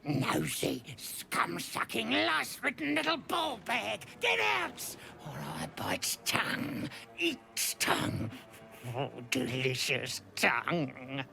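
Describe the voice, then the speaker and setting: Gravelly, snarling little goblin creature. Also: mid-range voice, wildly swooping pitch, takes it slowly, pushed and bright, faint music behind, heavily acted. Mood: angry, insulting. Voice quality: gravelly, creaky. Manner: broken grammar, snarling, gleefully menacing. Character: small vicious red-capped goblinoid creature, foul-mouthed and bloodthirsty. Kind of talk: video game character acting.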